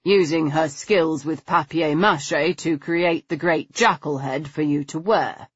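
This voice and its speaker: A woman voicing a calm, courteous, learned man in a storybook, speaking in a soothing, measured way. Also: low-pitched, breathy edge, no room sound, muffled recording. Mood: matter-of-fact.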